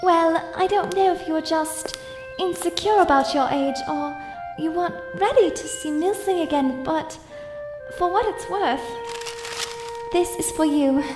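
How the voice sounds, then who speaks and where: A woman's voice: extremely high pitch, measured pace, music in the background. A woman playing an earnest, sensible young princess in an audio drama, speaking politely and a little formally. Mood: gentle. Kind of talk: fan audio drama acting. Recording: echoey room sound.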